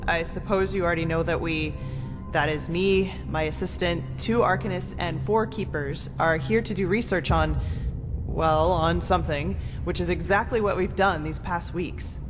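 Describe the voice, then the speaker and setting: In a long reverb with music playing, an actress plays a brusque female mage researcher from a fantasy game. Her voice sits fairly low, and she speaks with impatient, cutting sarcasm. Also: fairly even intonation, moderate pace, natural voice. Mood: urgent, frank. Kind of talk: video game character dialogue. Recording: reverberant hall, loud music behind.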